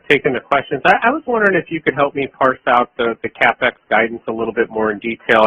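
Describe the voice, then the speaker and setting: A man's voice: medium pitch, pushed and bright, husky, no room sound, poor audio. A man, a financial analyst, asking questions on an earnings call with hesitant, stammered repeats.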